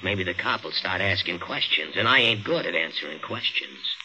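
Menacing, sharp-edged, low-voiced cab driver. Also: male voice, expressive, moderate pace, muffled recording, slight background noise, full character voice. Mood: coldly threatening. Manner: threatening, slangy, ungrammatical tough talk. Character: menacing rough-talking male cab driver, a killer. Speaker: radio drama actor. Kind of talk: 1940s radio thriller acting. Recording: old radio recording, dramatic music underneath.

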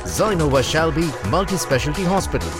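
Male voice-over, slightly high pitch, very animated, promotional script, music behind. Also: brisk. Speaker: advertisement voice-over.

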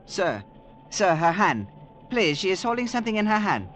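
An actor playing a timid, deferential train conductor in a 1950s radio drama, speaking formally and hesitantly. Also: male voice, high-pitched, big pitch swings, moderate pace, fairly bright tone. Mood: anxious. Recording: old radio recording, faint noise.

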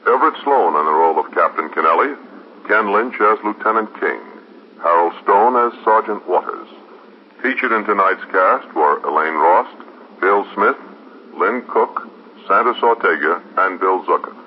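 Deep-voiced American 1950s radio announcer. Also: male voice, lively intonation, normal speed. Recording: dull, hissy 1950s radio recording.

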